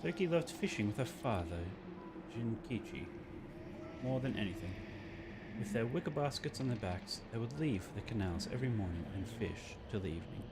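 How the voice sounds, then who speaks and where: A man's voice: fairly low pitch, lively intonation, moderate pace, very noisy. A man streaming a visual novel, reading the text aloud and adding his own commentary. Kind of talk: game playthrough commentary and dramatic read-aloud. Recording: game music behind the voice. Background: video game music.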